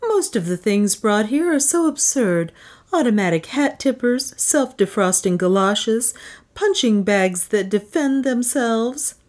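A narrator puts on a starry-eyed woman office worker from a comic story. She is breathy and fawning, with a raised, lilting voice that gushes in easy adoration. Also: a little high, animated, a little slow, a little mellow, pitched above the performer's own voice. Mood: gushing admiration.

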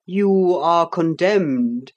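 A woman voicing a grave, calm Roman emperor who passes judgment in a slow, measured way. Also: sits low, dull audio. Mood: solemn.

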